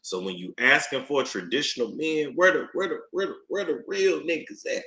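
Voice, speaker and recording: Man, live-stream commentator, bright lively delivery, roomy echo, emphatic repeater. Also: on the high side, normal speed. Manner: animated, emphatic, repeats phrases for effect.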